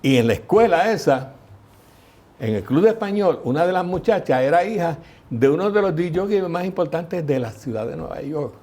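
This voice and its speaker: Husky older Puerto Rican man telling stories. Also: on the high side, normal speed. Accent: Puerto Rican Spanish. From Puerto Rico. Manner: lively anecdotal storyteller, mimics voices in quotes. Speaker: guest. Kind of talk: storytelling interview.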